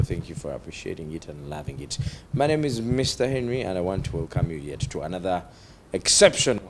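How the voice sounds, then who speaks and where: A man's voice: low voice, noisy background. A man with a Ugandan accent hosting a talk show interview, switching between English and Luganda, drawing out words for emphasis and often laughing. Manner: emphatic, drawn-out delivery with frequent laughter.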